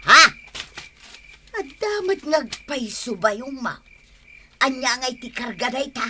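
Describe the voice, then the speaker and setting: An actress playing a suspicious, nagging wife in a Filipino radio drama in Ilocano, questioning and scolding. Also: female voice, high voice, sing-song, unhurried, background noise.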